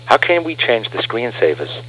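A man's voice: mid-range voice, very animated, normal speed, fairly bright tone, slightly rough, lo-fi sound. An earnest man, a guest on a radio interview, explaining his views through rhetorical questions. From Austria.

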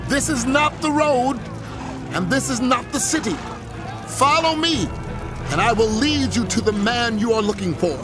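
A man voicing an old-world prophet who gives calm, sure commands in a raised voice. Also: very high voice, measured pace, music in the background, pitched above the performer's own voice. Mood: commanding.

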